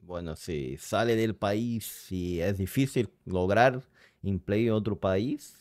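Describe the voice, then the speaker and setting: A man's voice: lowish voice, slow, a little mellow, dry recording, studio quality. Brazilian man reacting in learner Spanish. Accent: Brazilian Portuguese. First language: Portuguese. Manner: hesitant, searching for words, reads chat aloud. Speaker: reaction streamer. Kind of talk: casual reaction chat.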